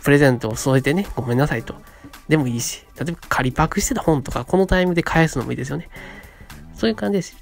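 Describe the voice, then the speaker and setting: A man of about thirty, telling stories and pitching ideas as a radio co-host in a polite, conversational way. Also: vocal fry. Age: around 30. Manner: polite, conversational, acts out short quotes. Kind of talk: casual monologue, storytelling and pitching ideas. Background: background music.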